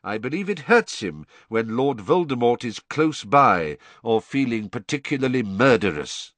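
A man voicing a calm, commanding old wizard headmaster who speaks coolly and with measured authority. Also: medium pitch, no room sound. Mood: grave. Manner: cool, measured.

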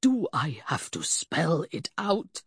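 A woman's voice: low-pitched, huge pitch swings, slow pace, husky, dry recording, clean studio sound, exaggerated voice. A woman voicing an anguished, weary husband in an audiobook, pleading and insistent with exasperation. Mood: grim. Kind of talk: audiobook character acting.

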